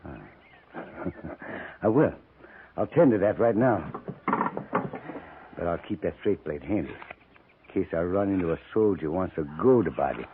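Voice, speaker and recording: A man's voice: low-pitched, expressive, phone-quality sound. Menacing, wildly swooping frontier knife-man. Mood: quiet threat. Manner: folksy frontier drawl, slow menacing chuckles. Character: menacing, gloating buffalo skinner fond of knives. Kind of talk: 1950s western radio acting. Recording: noisy, thin 1950s radio recording.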